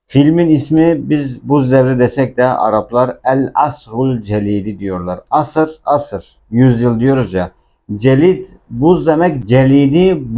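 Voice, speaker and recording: A man's voice: medium pitch, dark tone. A man giving an informal language lesson, patiently repeating and explaining words as a teacher.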